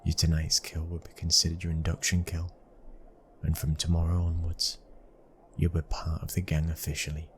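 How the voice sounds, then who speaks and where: A British man in an ASMR boyfriend roleplay, playing a commanding, protective young mafia boss's son with a low, husky, controlled voice. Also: completely flat delivery, normal speed, slight background noise. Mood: cold, commanding.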